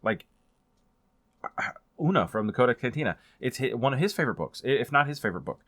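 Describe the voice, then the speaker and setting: A man talking casually as a book reviewer in a rambling, informal way that gets animated on points of emphasis. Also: medium pitch, fast talker, dry recording. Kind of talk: casual chatty book vlog.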